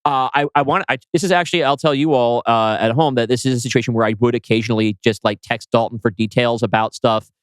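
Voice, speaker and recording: A man's voice: mid-range voice, fairly even intonation, fast talker, hardly any pauses, clear, resonant tone, no room sound. A man talking casually as a podcast co-host, discussing writing in a conversational way. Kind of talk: casual chat about scriptwriting.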